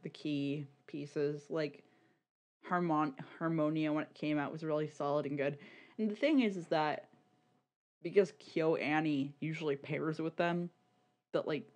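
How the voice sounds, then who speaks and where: A podcast co-host chatting casually about media in a rambling, halting, sweary way, often repeating words. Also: medium pitch. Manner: informal, sweary.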